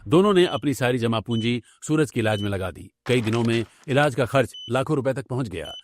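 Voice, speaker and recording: A man's voice: mid-range voice, expressive, brisk, a little hiss or hum. A man reading scripted story narration for a cartoon tale. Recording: background music under the voice.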